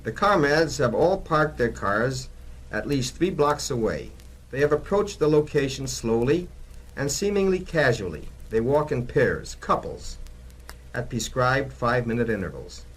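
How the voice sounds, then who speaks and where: A man's voice: mid-range voice, big pitch swings, a little slow, breathy edge, rough recording. A man reading a grave, scripted 1960s dramatic narration in an expository manner.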